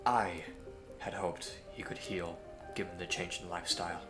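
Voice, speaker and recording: A man playing a courteous, earnest young Victorian gentleman hero in a scripted audio roleplay, with formal, hesitant phrasing. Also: low voice, steady tone, moderate pace, music in the background, lightly acted. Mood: grave, sorrowful.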